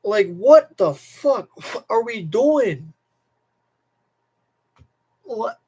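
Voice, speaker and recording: A man's voice: sits high, very animated, very slow, dark tone, husky, low-quality recording. A young American man ranting casually and emphatically as a livestream host, repeating himself and swearing. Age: young adult. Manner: emphatic, repetitive, profane. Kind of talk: casual livestream rant to chat.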